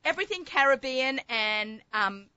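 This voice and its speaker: An Australian woman you'd hear hosting a cooking chat on a small community radio station, sharp bright voice, chatty and enthusiastic, dry slightly dull sound. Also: high voice, animated, moderate pace, clean tone, no room sound. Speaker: host of a food talk show. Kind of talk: casual chatty radio interview. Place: studio.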